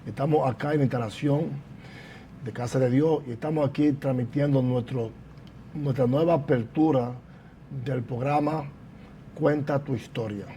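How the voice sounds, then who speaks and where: A pastor with a mild, mellow voice hosts a church testimony broadcast, speaking formally and in a preacherly way. Faint background noise sits under his voice. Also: mid-range voice. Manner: preacherly, formal, interjects praise. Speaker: pastor and program host. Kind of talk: church program interview. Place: church building.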